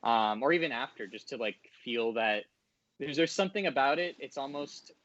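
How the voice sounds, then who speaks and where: A man's voice: mid-range voice, animated, moderate pace, fairly bright tone, muffled recording. A man chatting casually as a podcast guest, hesitant and often searching for his words. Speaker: audio drama voice actor, podcast guest. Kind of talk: casual interview talk.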